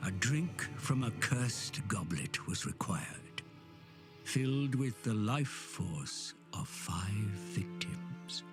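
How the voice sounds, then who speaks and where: A man giving grave, scripted narration for game cutscenes, slow and measured, dropping at times to a hushed whisper. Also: fairly low pitch, expressive, loud music behind the voice. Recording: studio narration over loud orchestral score.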